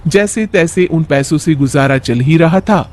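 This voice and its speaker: A man's voice: on the high side, unhurried, darkish tone, slight background noise. A man narrating a scripted moral bedtime story as a storyteller.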